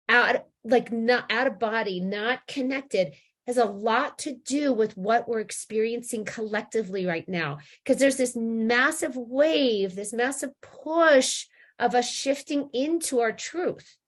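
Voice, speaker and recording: Hoarse woman giving a rambling spiritual talk. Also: fairly high pitch. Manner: rambling, with frequent restarts and "like". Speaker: spiritual teacher.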